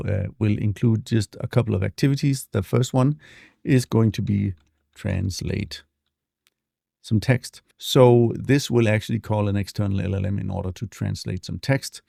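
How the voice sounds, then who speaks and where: A man walking through a software demo in a relaxed, explanatory way, with frequent fillers, speaking close to a desk mic. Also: fairly low pitch, gravelly, no room sound. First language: not English. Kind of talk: screen-share tutorial walkthrough.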